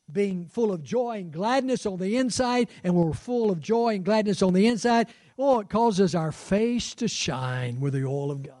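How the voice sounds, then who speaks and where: A man's voice: fairly high pitch, mellow, dry recording, studio quality. A man in his sixties preaching a sermon as pastor, conversational and fervent, with rising exclamations. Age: 60s. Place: church sanctuary.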